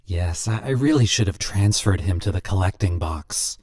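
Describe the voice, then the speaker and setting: A suave, sinister stranger who collects souls like specimens, as a male storyteller puts him on. His voice sits low and swoops widely, smooth and businesslike with a faint pious simper. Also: very animated, unhurried, darkish tone. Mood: smooth menacing.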